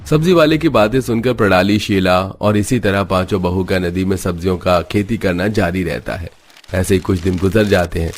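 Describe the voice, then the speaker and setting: A man with a low voice tells a scripted story. He narrates it as for a moral cartoon tale. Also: moderate pace.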